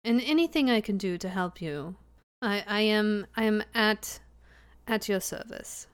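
A woman's voice: fairly high pitch, lively intonation, slow. A woman playing a composed country-club widow in a put-on transatlantic lilt, clipped and prim.

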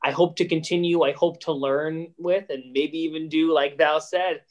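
A teenage boy from a high school drama club, speaking earnestly in a casual interview. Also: fairly even intonation, moderate pace. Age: teens. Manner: earnest, eager.

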